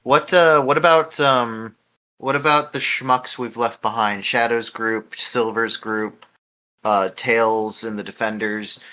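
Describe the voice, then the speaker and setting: A man's voice: medium pitch, fairly even intonation, takes it slowly, pushed and bright. A man hosting a casual podcast discussion, prompting the others with questions. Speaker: podcast host.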